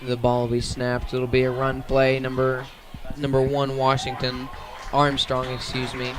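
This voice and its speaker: A man's voice: medium pitch, monotone, measured pace, a bit bright. A man doing live radio play-by-play of a football game outdoors, in short clipped phrases. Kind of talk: live radio sports commentary.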